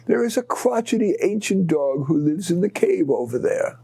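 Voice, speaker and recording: A man's voice: sits high, animated, moderate pace, gravelly, mellow, slightly rough, voice pushed up, full character voice. A man voicing a knowing, helpful owl in an animal story.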